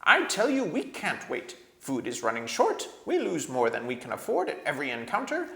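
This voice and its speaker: A man's voice: a little high, wildly swooping pitch, moderate pace, vocal fry, pushed and bright, reverberant, voice pushed up, heavily acted. A man voicing an angry, bitter dwarf rebel in a storybook, snarling and sarcastic. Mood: angry, sneering.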